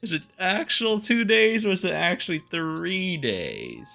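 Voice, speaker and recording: Live game streamer: casual remarks, gravelly edge, highly animated pitch, faint music behind. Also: a little slow.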